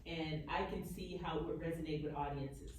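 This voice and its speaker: A woman talks from an audience in a casual, personal, self-correcting way. Her voice is slightly low and comes from a distance through a heavily echoing hall with faint noise. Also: steady tone, normal speed, crowd noise. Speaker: audience member.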